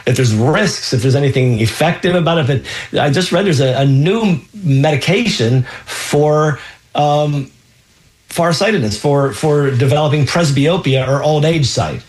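A man's voice: a little high, lively intonation, unhurried, low-quality recording. A man hosting a call-in radio health show as a physician, explaining answers with frequent ums and restarts.

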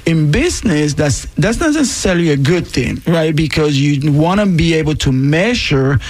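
A woman's voice: sits low, animated, normal speed, a little mellow. A woman with a Puerto Rican accent, a guest on a radio panel, explains a training program earnestly, with many fillers along the way.

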